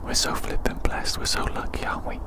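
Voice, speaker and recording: An ASMR creator rambling chattily in a breathy, unvoiced whisper outdoors, softly repeating phrases. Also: brisk. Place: outdoors in the woods in winter. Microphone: action camera.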